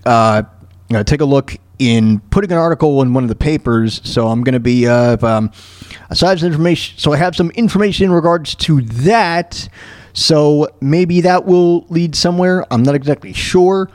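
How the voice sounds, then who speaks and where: A man hosting a casual solo radio show, talking in a halting way as he thinks aloud. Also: fairly high pitch, darkish tone, no echo, studio quality.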